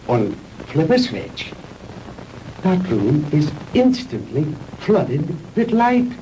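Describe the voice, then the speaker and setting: An actor playing a proud, vengeful mad scientist in a 1960s B-movie, lecturing pompously with theatrical pauses on an old film soundtrack. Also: male voice, a little high, dark tone, recorded from a distance, slight background noise, rough recording. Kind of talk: 1960s B-movie sci-fi acting.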